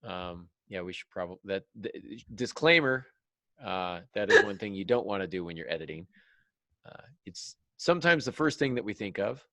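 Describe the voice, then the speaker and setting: Very deep-voiced man hosting a podcast. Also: animated, moderate pace, sharp tone, dry recording. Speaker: podcast host and fiction writer. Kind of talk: casual podcast discussion giving writing advice.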